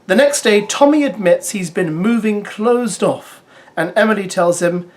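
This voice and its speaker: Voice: mid-range voice, unhurried. Chatty, sarcastic reality TV vlogger. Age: adult parent. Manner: chatty, sarcastic asides, lively. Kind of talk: casual spoken commentary to viewers.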